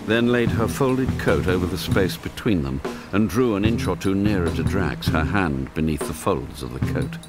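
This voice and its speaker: An actor as the measured storyteller of a spy thriller radio drama. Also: male voice, low voice, expressive. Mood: measured, suspenseful. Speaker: narrator. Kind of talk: scripted radio drama narration. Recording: loud music under the voice.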